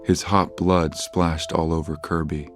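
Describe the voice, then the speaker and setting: A man with a deep voice narrates a scripted horror tale. He tells it as the narrator of an audio drama, with clear music underneath. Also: level tone, unhurried.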